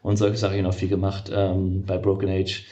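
A man's voice: sits low, completely flat delivery, a little fast, dark tone, lo-fi sound. A middle-aged man, a game developer being interviewed, talking casually and hesitantly with many fillers and restarts.

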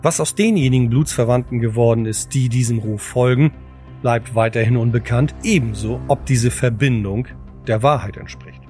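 A man with a mid-pitched voice narrates an audio drama from a script. His delivery is measured and solemn, with music playing behind him. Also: darkish tone.

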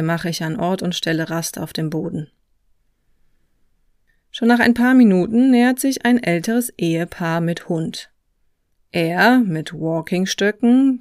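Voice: mid-range voice, no room sound, clean studio sound. A podcast interviewer and narrator who moves between conversational questions and reflective storytelling.

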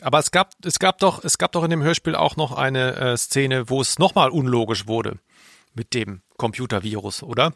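A man co-hosting a podcast in casual chat, with a halting, understated way of talking. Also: medium pitch, creaky, a bit bright, no echo. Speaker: podcast co-host.

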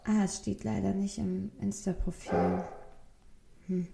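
A woman's voice: medium pitch, measured pace, creaky, a crowd in the background. Joking young woman co-hosting a podcast. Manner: joking, blunt, lively. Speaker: podcast co-host. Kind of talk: casual chat.

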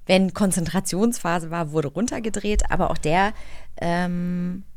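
A woman's voice: lowish voice, measured pace, dry recording. A woman speaking as an interview host, in casual, informal studio conversation. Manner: informal, chatty. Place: recording studio.

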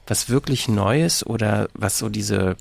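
A man's voice: lowish voice, a little slow, vocal fry, dry recording. A man in a casual podcast discussion, speaking as a panelist in a hesitant, hedging way.

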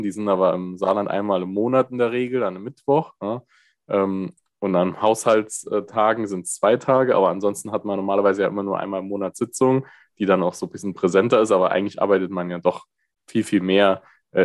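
A man's voice: lowish voice, darkish tone, no room sound, studio quality. A man hosting a casual political podcast, chatty and explanatory with many fillers, recorded remotely. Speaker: podcast host asking questions.

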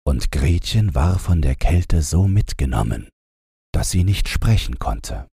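A man's voice: rumbling low, very animated, unhurried, vocal fry, dark tone, husky, no room sound, clean studio sound. A man reading a fairytale as a scripted bedtime audiobook narration.

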